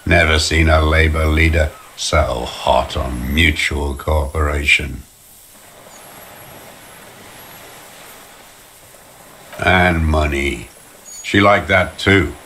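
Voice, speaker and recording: An actor playing a bitter old communist deserter, slow and contemptuous, in a video game. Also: male voice, rumbling low, bright, forceful tone, slight background noise, exaggerated voice. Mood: scornful. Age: older. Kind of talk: dramatic video game voice acting. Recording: music under the voice.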